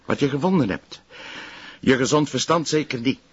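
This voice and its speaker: An actor playing a skeptical, exasperated police colleague who answers tersely and doubtfully in a TV crime drama. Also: male voice, medium pitch, moderate pace, dull audio, lightly acted. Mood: skeptical, weary. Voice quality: raspy, breathy.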